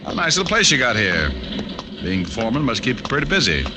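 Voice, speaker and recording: An actor playing a wisecracking, cynical American private detective with a wry manner in an old radio drama. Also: male voice, mid-range voice, sing-song, quick pace, background noise, close to an everyday voice. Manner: wry, sardonic. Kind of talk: 1940s radio drama acting with narration.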